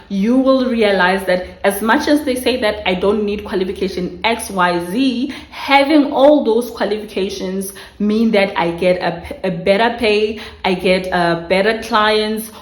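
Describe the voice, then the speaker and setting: A woman with a South African accent talks casually to her viewers at a medium pitch, circling back and restarting phrases as she goes. She speaks straight to her audience from home. The room rings with noticeable echo. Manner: chatty, restarts phrases, addresses viewers directly. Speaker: vlogger giving career tips. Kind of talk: casual explanatory talk to viewers.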